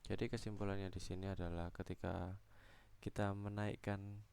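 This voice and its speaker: A young adult man giving an explanatory student presentation, halting and going step by step. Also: low-pitched, flat delivery, gravelly, a little mellow, smooth.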